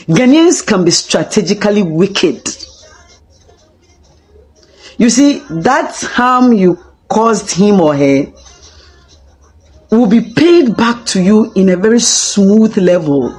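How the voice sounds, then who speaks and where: A woman speaking in a lowish voice, making her case with emphatic repetition. Her delivery is casual and opinionated. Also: a little mellow, husky, low-quality recording.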